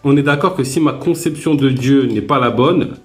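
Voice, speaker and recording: A man hosting a live online debate, calmly steering the talk and handing over the floor. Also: mid-range voice, normal speed, soft background music. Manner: calm, interjects to steer and hand over the floor. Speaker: host of a live debate stream. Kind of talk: casual debate moderation.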